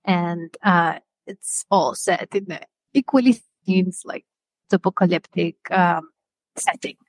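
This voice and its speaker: Woman, measured and dry. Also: lowish voice, dry recording. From Greece. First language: Greek. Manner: measured, pausing often with "uh" and "um". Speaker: author guest. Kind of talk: podcast interview.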